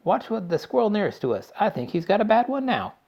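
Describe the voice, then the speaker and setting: A man voicing an eccentric male candy-factory owner, brisk, bossy and theatrical. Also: sits high, very animated, vocal fry, highs cut off, voice pushed up, heavily acted. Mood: explaining. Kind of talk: audiobook character voice.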